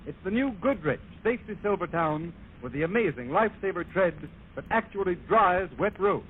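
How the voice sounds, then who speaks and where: A man's voice: sits high, animated, a little fast, husky. A man announcing formally in a declamatory 1930s radio style, reading scripted advertising and narration. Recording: noisy, tinny 1930s radio recording.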